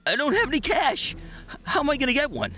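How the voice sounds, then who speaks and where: A man's voice: very high voice, wildly swooping pitch, fast talker, sharp tone, exaggerated voice. A man playing a nervy, stubborn teenage boy in a motion comic, hesitant and easily incredulous. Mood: agitated.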